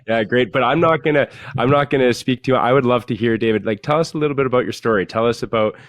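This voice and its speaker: A man's voice: medium pitch, quick pace, no echo. A man hosting a podcast interview, asking rambling, hesitant questions full of fillers. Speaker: podcast host.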